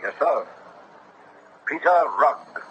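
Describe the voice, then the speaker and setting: Bright-voiced, courtly old-time merchant. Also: male voice, on the high side, lively intonation, takes it slowly. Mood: ominous, confiding. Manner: florid, courtly, confiding. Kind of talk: old-time radio drama acting. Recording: dull, noisy vintage radio broadcast.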